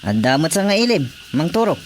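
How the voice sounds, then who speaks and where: A man's voice: a little high, very animated, fast talker. A man playing a polite, appreciative drinking companion in a radio drama. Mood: relaxed. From Philippines. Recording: radio play with crowd noise behind.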